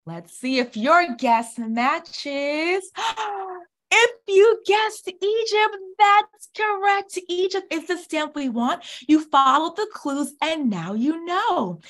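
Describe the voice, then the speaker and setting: Woman, thirties, high voice and leisurely pace, children's author reading a picture book aloud, coaxing young listeners with questions and praise. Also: fairly bright tone. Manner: encouraging, exclamatory, asks questions and answers them. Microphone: computer mic on video call.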